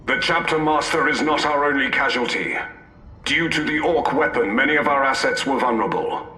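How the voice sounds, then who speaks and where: An actor playing a sober military officer reporting formally and grimly in a game cutscene. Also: male voice, mid-range voice, a little fast, sharp tone, reverberant, slight background noise, close to an everyday voice. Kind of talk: dramatic game cutscene acting.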